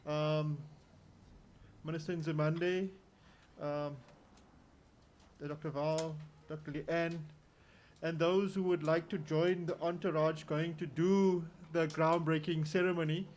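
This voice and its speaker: A man with a South African accent acting as master of ceremonies, giving relaxed, halting instructions inside an event tent. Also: fairly high pitch, slight background noise. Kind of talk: event announcements.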